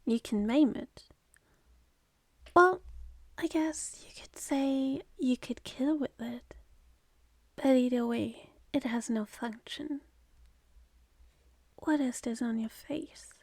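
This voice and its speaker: A woman voicing a jaded, scornful, seductive demoness in an ASMR roleplay, with a sardonic manner that slips into breathy whispers. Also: sits high, slow, long pauses, dry recording, exaggerated voice. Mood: cold, scornful.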